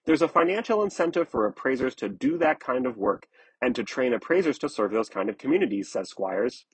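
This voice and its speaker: A man narrating a scripted news article in a measured, explanatory way. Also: mid-range voice, husky, no room sound, low-quality recording.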